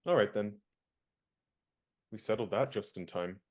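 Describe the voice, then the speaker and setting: A young man acting an indignant valet in a radio play, crisp and lecturing. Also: on the low side, unhurried, close to an everyday voice. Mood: stern.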